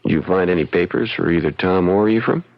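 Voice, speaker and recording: A man playing a young frontier lawyer in an old radio mystery, folksy, shrewd and deliberate as he probes. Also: deep voice, creaky. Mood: probing, calm. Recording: dull, band-limited old radio recording.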